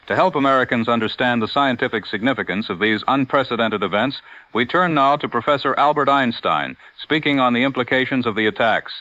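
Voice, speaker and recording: An actor playing a grave 1940s radio news anchor, delivering a formal, urgent bulletin. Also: male voice, medium pitch, brisk, sharp tone, no echo, lightly acted. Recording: dry, dull old radio broadcast sound.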